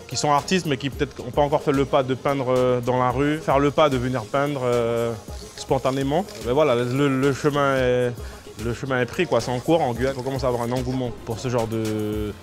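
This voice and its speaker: A man from French Guiana speaking casually as an interviewed graffiti artist, in a halting way with repeated words. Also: medium pitch, steady tone. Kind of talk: casual spoken interview about own work. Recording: loud music under the voice.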